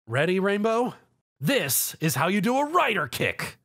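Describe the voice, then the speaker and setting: A man's voice: high-pitched, big pitch swings, unhurried, a bit bright, slightly rough, voice pushed up, full character voice. A man voicing a brave, snarky young male hero in an action story, cocky and wry.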